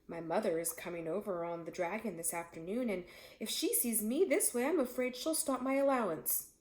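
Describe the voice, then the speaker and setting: A woman's voice: medium pitch, normal speed, darkish tone, lightly acted. A woman voicing a vain, hot-tempered male lion in a storybook, with an emphatic, blustering manner. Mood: upset, fretful. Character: angry, vain male lion, fretting over mane. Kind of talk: storybook character voice. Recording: echoey room.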